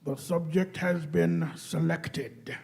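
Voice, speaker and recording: A man's voice: fairly high pitch, steady tone, slow pace, creaky, a little mellow, exaggerated voice. A man voicing a cold, commanding alien machine leader in a sci-fi radio play, making grating, imperious pronouncements.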